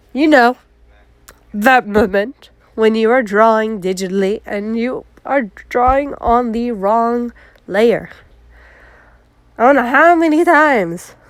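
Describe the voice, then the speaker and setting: Congested woman vlogger, bright-ish pitch, animated storyteller, dead-dry close recording. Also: clear, resonant tone, no room sound. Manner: chatty, animated, acts out her own exclamations. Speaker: art vlogger. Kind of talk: casual story time.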